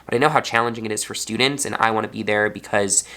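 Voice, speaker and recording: A man's voice: fairly low pitch, steady tone, quick pace, pushed and bright. A young man, a fourth grade teacher, talking earnestly in a personal vlog, sounding congested. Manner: earnest, heartfelt.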